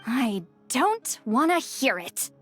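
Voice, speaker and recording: A woman voicing a prickly, easily embarrassed woman in an animated game, huffy and dry, with music behind her. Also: high voice, takes it slowly, exaggerated voice. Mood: stern, embarrassed. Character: prickly, self-conscious woman, easily embarrassed, fond of slacking.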